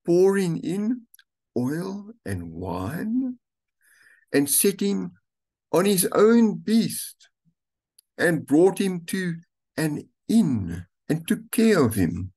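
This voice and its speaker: Slow, lively older man preaching. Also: on the high side, slightly rough. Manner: slow, deliberate reading with stretched words. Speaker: pastor. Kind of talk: sermon reading scripture. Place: home room with curtains, indoors.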